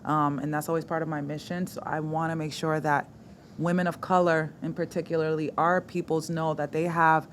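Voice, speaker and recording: A woman with a fairly low voice from the Dominican Republic talks as an upbeat podcast guest. She laughs easily and slips Spanish words into her talk. Faint ambient noise sits under the recording. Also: normal speed. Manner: animated, laughs often, mixes in Spanish words. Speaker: guest, sports host and movement coach. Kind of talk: casual podcast interview.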